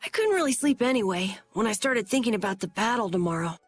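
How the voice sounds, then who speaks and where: A woman's voice: mid-range voice, animated, fast, slightly rough, faint music behind. A woman voicing an eager, earnest young boy in a video game. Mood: modest, earnest.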